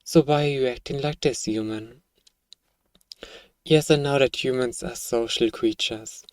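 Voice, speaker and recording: Low-voiced, formal alien researcher, played by a man. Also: darkish tone, dry recording, distant mic, natural voice. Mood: earnest. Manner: formal, measured, addresses listener as "human". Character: polite, curious alien male researcher, earnest and clinical. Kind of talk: scripted audio roleplay monologue, ASMR.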